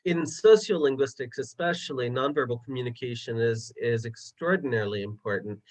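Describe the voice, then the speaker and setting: A man's voice: mid-range voice, brisk. A man who is a linguist, moderating a webinar over a video call and speaking hesitantly with many fillers.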